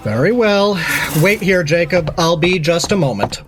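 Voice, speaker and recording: An actor playing a self-serving asylum doctor who speaks in a formal, lofty way. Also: male voice, high voice, expressive, moderate pace, background noise.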